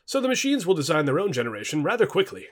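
A man voicing a polite, reasoning Victorian gentleman naturalist in a book dialogue. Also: high-pitched, sing-song, racing, pitched above the performer's own voice, exaggerated voice. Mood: curious.

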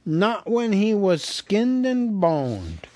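A man voicing a huge, slow, dim-witted male troll with a slow, slurring drawl. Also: high-pitched, huge pitch swings, dark tone, no room sound, voice pushed up, exaggerated voice. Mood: gruff.

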